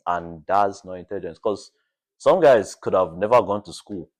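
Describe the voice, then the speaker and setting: Young man, podcast guest, low-pitched voice, casual chat, informal phrasing. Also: normal speed. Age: young adult. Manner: informal, frequent "like" as filler.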